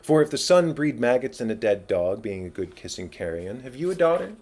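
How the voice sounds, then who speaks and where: A man's voice: mid-range voice, big pitch swings, fairly quick, a little mellow. A man playing a young prince who feigns madness, sardonic and riddling, in a dramatic Shakespeare reading.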